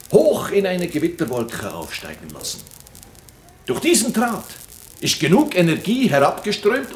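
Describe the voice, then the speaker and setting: An actor playing an older, visionary science teacher in a German radio play, theatrical and mysterious, dramatic and deliberate with stretched words. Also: male voice, a little high, slight background noise. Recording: roomy, echoing studio sound.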